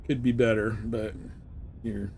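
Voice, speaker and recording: A man's voice: lowish voice, flat delivery, measured pace, darkish tone. A man chatting casually and thinking aloud as he livestreams a game in a laid-back way. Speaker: game streamer. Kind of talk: casual livestream gameplay chat. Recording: faint background noise.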